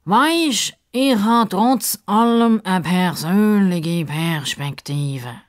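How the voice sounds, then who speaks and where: A woman voicing a self-important, dreamy male crocodile in a children's story, with a pompous, deliberate delivery and a Swiss German accent. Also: on the low side, lively intonation. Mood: grandly dreamy.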